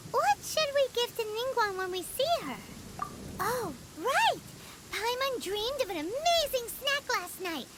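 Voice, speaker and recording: A woman voicing a tiny, childlike, excitable fairy companion in a video game, with a squeaky, exaggerated delivery. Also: heavy background noise, full character voice. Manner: squeaky, exaggerated, speaks of self in third person. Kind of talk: English video game voice acting.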